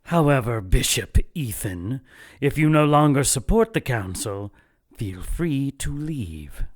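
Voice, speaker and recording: Ruthless church zealot boss, audio drama, measured n imperious, cold menace. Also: male voice, medium pitch, very animated. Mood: scornful, commanding. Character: cold ruthless male church zealot leading a secret council.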